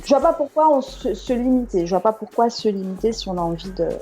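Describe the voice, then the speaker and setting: A woman's voice: mid-range voice, lively intonation, fast. A woman singer as a guest in a casual chat, speaking frankly and directly.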